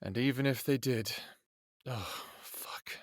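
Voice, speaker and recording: A man's voice: mid-range voice, takes it slowly, no echo, clean studio sound. An actor playing an earnest, idealistic and protective human man in an audio drama, hesitant at times and impassioned at others. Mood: troubled. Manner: expressive, hesitant then impassioned.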